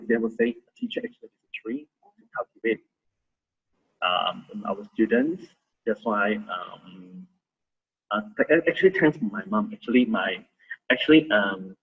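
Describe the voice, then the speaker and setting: A man in his thirties with a Malaysian accent, sharing his story as a volunteer in a halting way with many restarts. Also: on the low side, breathy edge, narrow, tinny audio. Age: 30s. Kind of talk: casual testimony sharing.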